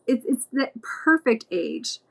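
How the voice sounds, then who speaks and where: A woman in a casual group podcast chat, warmly encouraging and speaking with many fillers. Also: high voice, big pitch swings, slow pace, vocal fry, slightly rough. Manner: encouraging, lots of affirming murmurs and fillers. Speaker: podcast guest.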